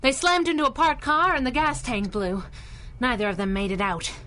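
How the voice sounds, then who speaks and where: A woman's voice: sits high, steady tone, moderate pace, pushed and bright, a little hiss or hum. A woman playing a plucky, scrappy superhero sidekick in a 1930s-style radio drama, with feisty, slangy talk. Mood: shocked, grave.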